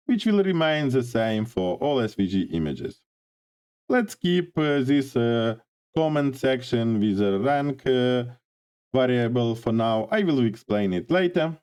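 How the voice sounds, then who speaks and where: A man with a hoarse voice from a cold, explaining a data visualization tutorial step by step with frequent pauses. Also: mid-range voice, darkish tone. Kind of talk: screen-share software tutorial.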